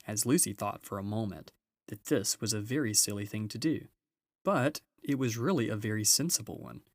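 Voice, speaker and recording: A man's voice: on the low side, no echo. A man narrating a children's storybook aloud as an audiobook reader.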